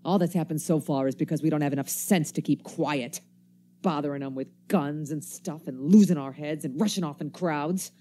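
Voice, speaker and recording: A woman's voice: sits low, moderate pace, warm tone, a little hiss or hum, lightly acted. A woman acting a wary, embittered survivor in a radio play, with folksy, slangy, cynical speech. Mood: scheming, scornful. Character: wary, embittered survivor stranger armed with a knife.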